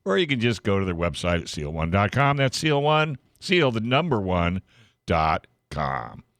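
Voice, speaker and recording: A man's voice: fairly low pitch, big pitch swings, moderate pace, fairly bright tone, no echo, studio quality. A man working as a radio host, speaking in a casual, conversational on-air style. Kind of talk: casual radio chat and sponsor reads.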